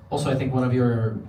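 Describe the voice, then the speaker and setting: A man's voice: medium pitch, very noisy. Man chatting on stage, echoey hall. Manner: rambling with fillers and restarts. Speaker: live show host. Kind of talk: casual stage chat. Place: event hall with live audience. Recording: roomy hall from far off.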